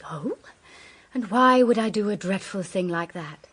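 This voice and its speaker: A coldly amused murderess in a radio drama. Her voice is breathy and unhurried, sitting at an ordinary female pitch. She is silky and taunting, a seductive cult leader who relishes her own wickedness. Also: expressive, fairly bright tone. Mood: coy, mocking.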